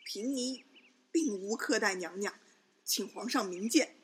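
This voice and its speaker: A woman's voice: mid-range voice, unhurried, breathy edge. A woman playing a scheming, cowardly Buddhist nun in a Chinese palace radio drama, pleading for mercy. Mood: defensive.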